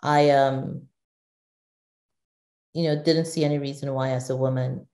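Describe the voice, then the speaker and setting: A woman's voice: a bass voice, fairly even intonation, a little slow, dark tone, echoey room. A middle-aged woman from Nigeria, a guest in an interview, talking thoughtfully with many restarts and fillers. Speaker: guest, digital executive. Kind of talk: conversational interview.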